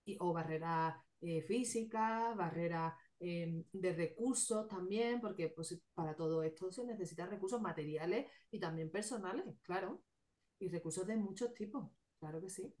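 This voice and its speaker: A woman psychologist and interview guest with a regional Spanish accent, calmly explaining and listing points. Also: on the low side, moderate pace. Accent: non-Madrid Spanish. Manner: calm, explanatory, lists things. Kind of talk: casual interview.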